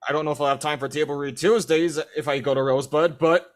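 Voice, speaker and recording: A man's voice: a little high, fairly even intonation, quick pace. A man who does voice acting, chatting casually in a rambling way. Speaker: amateur voice actor. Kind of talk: casual chat.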